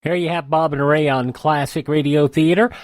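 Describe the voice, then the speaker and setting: A man's voice: a little high, steady tone, normal speed, dry recording, clean studio sound. A man hosting a radio program with scripted announcements and introductions. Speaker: radio show host. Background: music bed.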